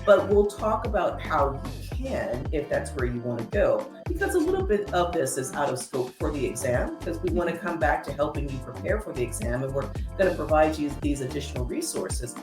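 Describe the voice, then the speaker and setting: A woman from San Diego whose first language is Spanish, co-hosting a casual tech chat over a video call, expressive and checking in with "right?". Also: low-pitched, fairly quick, few pauses, echoey room, music in the background. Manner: expressive, says "right?" often. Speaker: co-host, coach.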